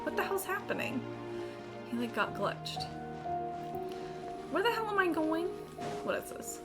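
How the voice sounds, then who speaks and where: A woman's voice: very high voice, very animated, unhurried. A young woman chatting casually on a gaming livestream, informal, rambling and sweary. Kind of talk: casual gaming stream chat. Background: game music.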